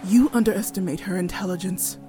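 A woman's voice: medium pitch, brisk, warm tone, faint music behind, lightly acted. A woman playing an earnest, pleading siren, the voice of reason in an audio drama.

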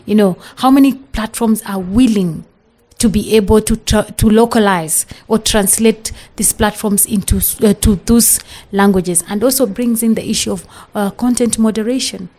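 A young Kenyan woman with a Kenyan English accent speaks as a podcast guest. Her voice is mellow and warm, and her pitch sits at an average height. She talks earnestly and emphatically, often restarting her sentences and leaning on "you know.". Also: moderate pace. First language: Swahili. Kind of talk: podcast interview.

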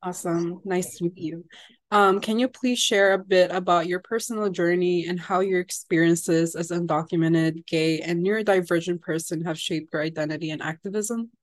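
A woman interviewer asking questions in a polite, measured way. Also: lowish voice, fairly even intonation, no echo.

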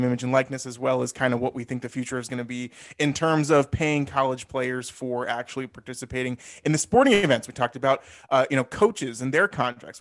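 A man co-hosts a sports podcast in a relaxed, loose way. His voice sits in an ordinary middle pitch range. He often restarts and corrects himself as he talks. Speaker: podcast co-host. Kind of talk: casual sports podcast chat.

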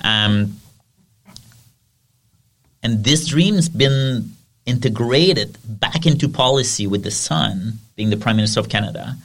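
A man's voice: mid-range voice, measured pace, pauses often, a bit bright. A man with a French accent, hosting a podcast interview and asking long, winding questions. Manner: asks long rambling questions. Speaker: podcast host. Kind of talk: conversational interview.

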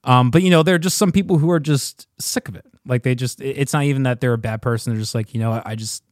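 Male podcast voice, middle pitch, loose conversational style, frank and self-deprecating with casual swearing, very dry sound. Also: fast talker, no echo. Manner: candid, sweary, self-mocking.

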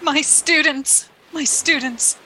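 A woman's voice: sits high, slow pace, pushed and bright, a little hiss or hum, pitched above the performer's own voice, exaggerated voice, low-quality recording. A woman voicing an anxious, timid professor, stammering and melodramatic in a spooky radio drama. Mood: anguished, worried. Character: anxious, lost female professor, fretful and timid.

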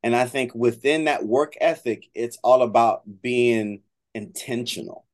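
A middle-aged American man speaks as a guest in a conversational interview. His pitch sits in the middle for a man, and he moves haltingly, repeating and rephrasing his words as he explains things. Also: a little mellow. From Miami, United States. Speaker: guest, trombone professor.

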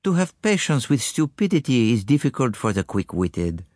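A courteous foreign detective, acted by a man in an audiobook. He is precise, formal and coaxing, with lilting, very lively intonation in a dry studio sound. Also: medium pitch, moderate pace, no room sound, pitched above the performer's own voice, close to an everyday voice. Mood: soothing. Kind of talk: audiobook character voice.

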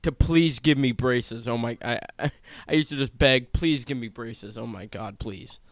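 A twenty-year-old man with a pronounced lisp, chatting casually and swearing freely as a podcast host, in an animated, self-deprecating way. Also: mid-range voice, moderate pace. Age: 20, turning 21 soon. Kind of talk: casual chat.

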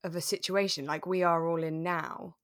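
Low-voiced woman, casual podcast chat. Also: steady tone, normal speed, no room sound, clean studio sound. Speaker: podcast co-host. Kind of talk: casual chat about a radio drama.

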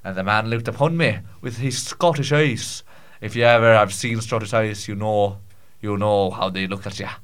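A man's voice: lowish voice, moderate pace, fairly bright tone, smooth, voice pushed down, close to an everyday voice. A man improvising a comic monologue as a pompous, rambling Scottish storyteller, with archaic phrasing, stumbles and repeats. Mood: grandiose, rambling.

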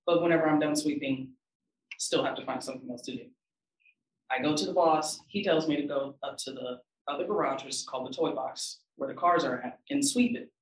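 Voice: medium pitch, a little fast, recorded from a distance. A young adult student panelist telling a story casually, wry and exasperated. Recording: roomy, from off-mic.

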